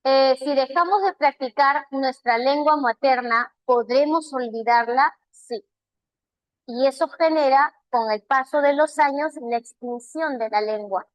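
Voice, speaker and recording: A woman with a Peruvian Spanish accent leads a live online lesson, her voice high and bright. She speaks warmly, keeps asking "right?" to check understanding and praises students by name, and the sound is close and dry with no room echo. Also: measured pace. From Peru. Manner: asks many rhetorical check questions. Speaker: language teacher. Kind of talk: live online class, answering student chat questions.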